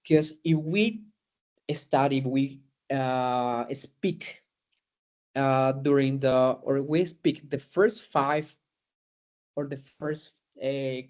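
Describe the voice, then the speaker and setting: A man's voice: mid-range voice, darkish tone, muffled recording. A man whose first language is Spanish gives an informal lecture to students in English, with many fillers, restarts and "no?" tag questions.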